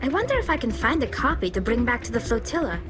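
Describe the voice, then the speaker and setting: A woman's voice: a little high, sing-song, fast talker. A woman voicing an earnest, curious young female alien engineer in a video game. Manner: earnest, curious, explains her people plainly. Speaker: squad member. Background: game music.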